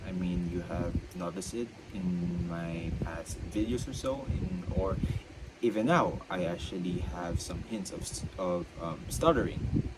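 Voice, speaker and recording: A young man, a student, talking unscripted about self-control, with a stutter that makes him halt and repeat himself. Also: low-pitched. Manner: stutters, halting and repetitive. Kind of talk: unscripted reflective monologue. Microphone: own mic. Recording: loud background noise.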